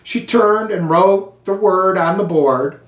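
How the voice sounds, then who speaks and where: A man's voice: high-pitched, fairly bright tone. American man reading in an echoey room. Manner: slow, deliberate, phrase-by-phrase delivery. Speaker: school superintendent reading aloud. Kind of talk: children's storybook read-aloud. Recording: echoey room, distant microphone.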